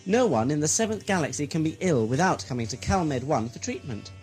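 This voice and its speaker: A man's voice: a little high, big pitch swings, fairly quick, a little mellow. Man playing a flamboyant swooping sci-fi villain lord. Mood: smug, gleeful. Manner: theatrical, mocking, mock-courteous. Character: grandiose, greedy, gleefully murderous villain lord. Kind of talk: audio sci-fi drama acting. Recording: music underneath.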